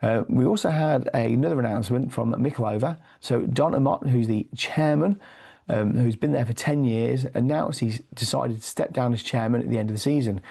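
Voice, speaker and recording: Hoarse gravelly man. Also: medium pitch, mellow. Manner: many "uh" fillers and restarts. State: losing his voice from a cold. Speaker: football league reviewer and commentator. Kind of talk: weekly football review, read from notes.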